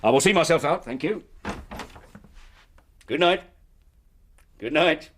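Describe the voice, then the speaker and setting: A man acting in a period radio drama, playing a hearty, persuasive company recruiter and smooth swindler, with a British accent and grandiloquent manner. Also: fairly high pitch, animated, slow, a bit bright, slight background noise. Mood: jovial, hearty. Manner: effusive, theatrical, grandiloquent.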